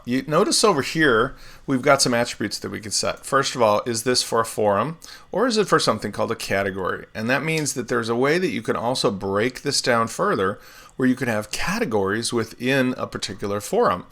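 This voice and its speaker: A man hosting a live software tutorial, explaining steps in a relaxed way with everyday comparisons while still getting over laryngitis. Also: lowish voice, creaky. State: recovering from laryngitis, swallowing often. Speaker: web developer tutorial host.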